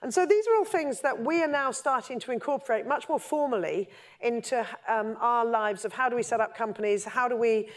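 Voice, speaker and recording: A middle-aged or older woman giving a conference keynote as an investor, speaking semi-spontaneously with hesitant pauses and repeated words. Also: on the high side. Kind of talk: conference presentation, semi-scripted talk with slides. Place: stage at a conference, indoors.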